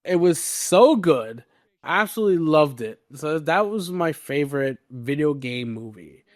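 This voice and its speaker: A man's voice: a little high, animated, a little slow, dry recording, studio quality. A man co-hosting a podcast, chatting casually in a playful, opinionated way. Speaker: podcast co-host. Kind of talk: casual panel chat.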